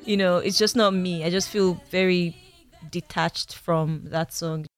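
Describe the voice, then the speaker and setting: A young woman with a Nigerian accent talks about her own work in a fairly low voice. She is candid and reflective, laughing easily. Soft music plays faintly behind her. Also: fairly even intonation, unhurried. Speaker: interviewed singer. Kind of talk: interview talk.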